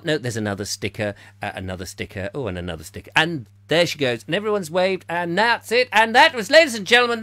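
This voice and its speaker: A man hosting a comic live chat show, playful and theatrical, slightly hoarse, slipping into silly voices. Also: medium pitch, sharp tone, dry recording. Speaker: comedy chat show host.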